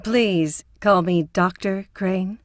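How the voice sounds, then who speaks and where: A woman's voice: mid-range voice, steady tone, takes it slowly, dry recording, clean studio sound, natural voice. A woman playing an earnest, principled psychotherapist with a prim, concerned manner in an audio drama. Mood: guarded.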